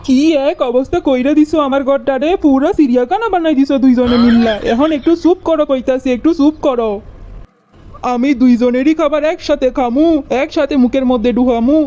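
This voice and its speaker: A woman's voice: high-pitched, fairly quick, dark tone, lightly acted. A woman voicing a harried, pleading cartoon husband with a Bangladeshi accent, coaxing and complaining. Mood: exasperated. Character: harried, pleading husband of two quarrelling wives. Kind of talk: comic cartoon dialogue.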